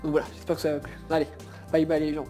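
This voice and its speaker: A woman's voice: sits low, monotone, racing, warm tone. A woman giving a casual, improvised opinion as an anime fan, rambling and emphatic in colloquial language. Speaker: guest debater, anime fan. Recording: background music under voice.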